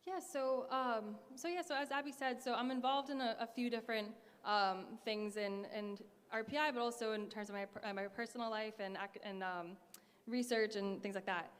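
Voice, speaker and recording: A woman's voice: a little high, steady tone, moderate pace, clear, resonant tone. A woman in her twenties, a student candidate answering debate questions, hesitant with many fillers and restarts. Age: 20s. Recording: echoey hall.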